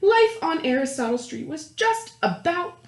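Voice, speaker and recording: A woman reading a picture book aloud to young children, expressive, with questions and asides to her listeners. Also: very high-pitched, measured pace, a little hiss or hum. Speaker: children's storytime host and story reader. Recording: roomy, echoey indoor sound.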